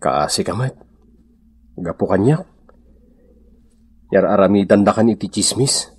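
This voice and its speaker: A low, very raspy husband with an Ilocano accent. He is a sheepish philanderer, coaxing slowly and hesitantly as in a radio drama. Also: expressive, heavily acted. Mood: apologetic, pleading.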